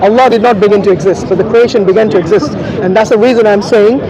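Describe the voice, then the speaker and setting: A man with a very high-pitched voice argues religion in an informal street debate. He explains point by point like a teacher, with clear crowd chatter around him outdoors. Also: quick pace, muffled recording. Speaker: religious debater arguing for Islam. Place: outdoors at an urban public speakers' corner.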